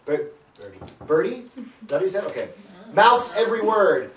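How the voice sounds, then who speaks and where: A man's voice: sits high, big pitch swings, fairly quick, a bit bright. A man reading stage directions aloud in a live comedic script reading, playful, often stumbling and breaking into asides. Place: echoey room with an audience. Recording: echoey room, distant mic, audience noise.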